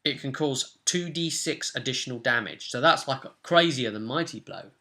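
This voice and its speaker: A man's voice: mid-range voice, lively intonation, moderate pace, bright, forceful tone. A young man, the host and game master, casually recapping a tabletop role-playing session from a room at home. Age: young adult. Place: small room at home, indoors.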